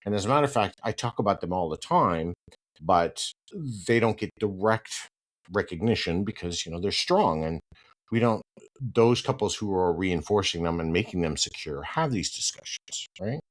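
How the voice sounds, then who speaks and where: A stuffed-up man with a slightly nasal, somewhat low voice, talking through his topic alone as a podcast host. He keeps it conversational, checks in with a frequent "right?", and gets firm and emphatic when he pushes back on advice he dislikes. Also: animated, normal speed. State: congested with hay fever. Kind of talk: solo podcast monologue.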